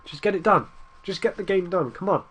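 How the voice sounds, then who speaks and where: Young man, stuffy cold-blocked nose, slightly high voice, rambling casual game commentary. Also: fairly quick, a bit bright, breathy edge. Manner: rambling, restarts, urges his team on. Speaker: gaming YouTuber.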